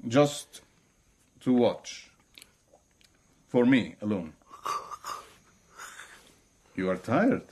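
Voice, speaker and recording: An actor playing a quiet, grave local guide, speaking terse, halting in a radio drama. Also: male voice, medium pitch, lively intonation, husky, full character voice. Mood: grave, reflective. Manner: terse, halting, simple sentences. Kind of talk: broadcast radio drama acting.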